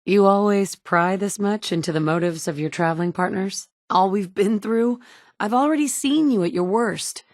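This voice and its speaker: A woman's voice: mid-range voice, normal speed, a little mellow, dry recording, studio quality, natural voice. An actress voicing a blunt, wry woman survivor in a video game, plain-spoken and direct, by turns tender and curt. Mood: even. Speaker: player character. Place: recording studio.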